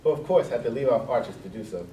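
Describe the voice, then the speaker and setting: Male storybook narrator, fast, mellow delivery, recorded at a distance with some noise. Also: medium pitch, animated. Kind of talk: scripted storybook narration on stage. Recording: echoey room, distant mic, noisy.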